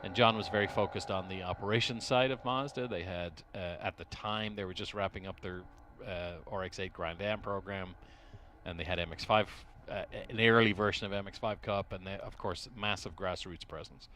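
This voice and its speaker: A middle-aged man from Dublin with an Irish accent, talking as an interview guest at a race track, pausing often and restarting his sentences. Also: low-pitched, steady tone, a bit bright. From Ireland. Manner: hesitant, many restarts and fillers. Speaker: interview guest, racing team executive. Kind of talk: casual interview. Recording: faint noise at a race track.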